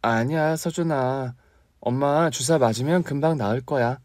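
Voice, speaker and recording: A man voicing a warm, scatterbrained young aunt in a spoken story, his pitch raised high. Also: a little slow, no echo, clean studio sound, lightly acted. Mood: soothing.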